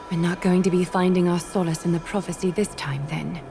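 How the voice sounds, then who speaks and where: An actress playing a commanding female demigod ruler in a video game, speaking in a formal, measured, solemn way. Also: lowish voice, steady tone, vocal fry. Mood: solemn, thoughtful. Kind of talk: scripted video game acting. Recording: music behind the voice.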